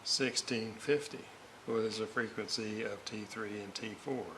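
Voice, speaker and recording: Guy muttering to himself while fixing stuff, lowish gravelly voice, halting, noisy recording. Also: steady tone. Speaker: radio restorer. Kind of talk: thinking aloud while working. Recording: clearly noisy workshop recording.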